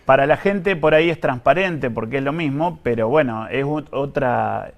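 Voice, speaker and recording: A man with an Argentine accent speaking as an official in an interview, explaining things in a careful, measured, technical way. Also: mid-range voice, vocal fry. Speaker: government pension official being interviewed.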